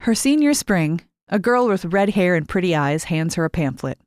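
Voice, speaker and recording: A woman reading a short story aloud as expressive, scripted narration with measured phrasing and deliberate pauses. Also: lowish voice, dry recording, studio quality. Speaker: short fiction reader.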